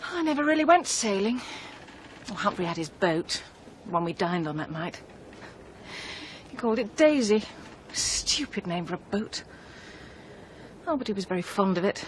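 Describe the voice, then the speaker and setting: An actress playing an affectionate young bride in a radio drama, playful and intimate. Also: female voice, medium pitch, big pitch swings, normal speed, pauses often, a little hiss or hum, lightly acted. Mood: wistful.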